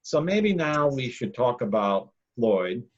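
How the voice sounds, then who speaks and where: A man, a monk hosting a casual talk, telling a long personal story in a loud, emphatic, rambling way. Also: mid-range voice, sing-song, slow, lo-fi sound. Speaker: podcast host, monk.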